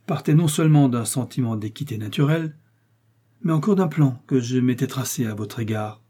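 A man reading an audiobook, voicing a powerful, scheming older cardinal who speaks in a smooth, persuasive, lofty way. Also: medium pitch, lively intonation, brisk, warm tone.

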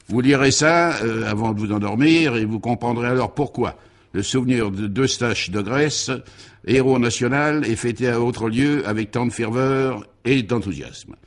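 A man voicing a high-spirited, witty man about town with a breezy, playful manner in a comic radio serial. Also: moderate pace, close to an everyday voice. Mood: generous.

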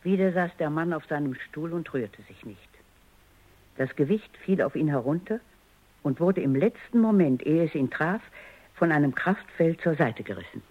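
A woman's voice: low voice, expressive, moderate pace. Precise, stern woman scientist, muffled recording. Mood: tense, narrating. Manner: precise, probing, authoritative. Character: female robot scientist, stern, analytical, controlled. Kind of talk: radio drama narration and dialogue. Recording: dull, lo-fi radio drama recording.

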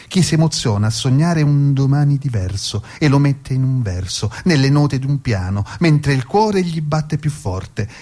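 A man's voice: mid-range voice, warm tone. A man recites his own verse. The delivery is earnest and incantatory, building through repeated lines as a poet would. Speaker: guest poet reading own work. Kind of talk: poetry recitation.

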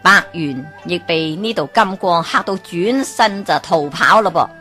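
Slow, low-voiced woman storyteller. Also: faint music behind. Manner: lively exclamations, asks the listener questions. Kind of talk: Cantonese folk tale narration.

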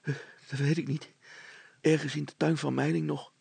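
A man's voice: on the high side, flat delivery, moderate pace, gravelly, darkish tone, breathy edge, no echo, voice pushed up, full character voice. A man voicing an anxious, panting young son, a big simple lad in a storybook tale. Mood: worried. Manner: panting, worried, hesitant.

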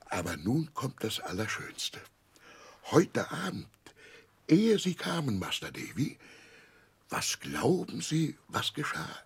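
A simple, rough old seafaring uncle in a 1960s radio play. The voice is deeply husky and a little low, moving slowly and haltingly. It rises and falls widely with proud, tender, overjoyed emphasis. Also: male voice, wildly swooping pitch, heavily acted. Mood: overjoyed, excited. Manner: emotional, halting, emphatic storytelling with exclamations.

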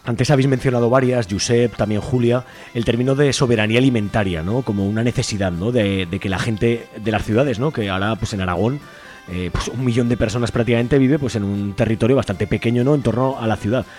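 A man's voice: medium pitch, a little mellow, soft background music. A man hosting a radio talk show, interviewing guests and a caller in a conversational way with frequent tag questions. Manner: brisk, leans on tag questions. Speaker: radio host.

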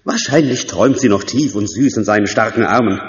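An actor playing an exacting, excitable theater director with a bossy, sarcastic manner in a German audio drama. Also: male voice, fairly low pitch, a little fast, natural voice. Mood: soothing. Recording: dull sound in an echoing theater hall.

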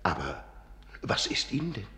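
An actor playing a courtly, eccentric older archivist and magician in a German fairy-tale radio play, ceremonious and slyly amused. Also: male voice, sits low, big pitch swings, normal speed, pushed and bright, reverberant, exaggerated voice. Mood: amused, reassuring.

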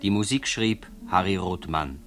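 A man reading formal closing credits as a radio announcer, in a measured manner. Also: deep voice, fairly bright tone. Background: faint plucked guitar music.

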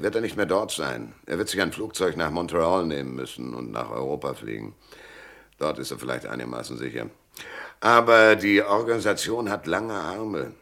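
A man's voice: low voice, creaky, a bit bright, heavily acted. An actor playing a stubborn, cool-headed male private detective in a German radio drama, laconic and hardboiled.

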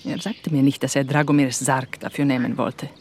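Low-voiced, guarded woman. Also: fairly even intonation, normal speed, darkish tone. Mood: confiding. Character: guarded, proud Serbian woman confiding in a detective. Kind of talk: radio crime drama acting. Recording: faint outdoor background noise. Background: birdsong outdoors.

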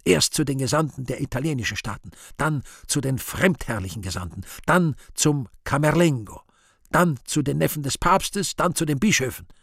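Man playing an imperious, raspy Devil. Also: medium pitch, a bit bright, dry recording, studio quality, pitched above the performer's own voice, heavily acted. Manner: commanding, urgent, hammering out a list. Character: imperious Devil barking orders at his creature. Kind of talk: radio play acting.

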